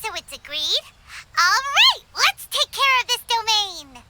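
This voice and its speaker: A woman voicing a small, pushy, cheerful fairy companion in a video game, squeaky, childlike and bossy. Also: big pitch swings, measured pace, very noisy, full character voice. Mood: bold, bossy. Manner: bossy, exclamatory.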